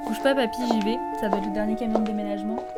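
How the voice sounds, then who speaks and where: A woman's voice: medium pitch, fairly even intonation, very fast, lightly acted. Actress as a curious young woman, music behind. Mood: helpful, playful. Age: young adult. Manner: casual, musing aloud. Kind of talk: audio fiction acting.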